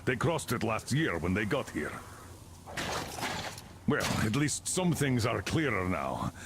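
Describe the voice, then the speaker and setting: A stern older officer from a game. His gravelly voice moves widely in pitch as he gives authoritative orders. There is faint noise behind him. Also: big pitch swings, exaggerated voice. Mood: resolute. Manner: brisk, authoritative briefing. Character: stern older military commander, measured and authoritative. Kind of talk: video game voice acting.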